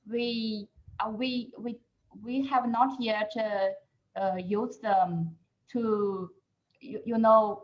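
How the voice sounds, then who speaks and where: Hesitant, slow-talking woman researcher. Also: medium pitch, muffled recording. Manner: hesitant, many fillers, searching for words. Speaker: researcher presenter. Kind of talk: answering questions in a second language.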